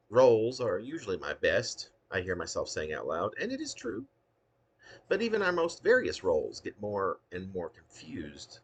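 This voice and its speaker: Male poet, low-voiced and husky, for a wry, dramatic reading of humorous autobiographical verse. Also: fairly bright tone. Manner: theatrical, wry, with emphatic swoops. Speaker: poet reading own work.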